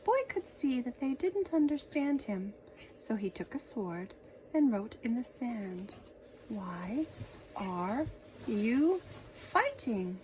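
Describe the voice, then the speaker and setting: A woman reading a children's picture book aloud in an expressive, dramatic storytelling manner. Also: a little high, a little slow, a little hiss or hum, poor audio.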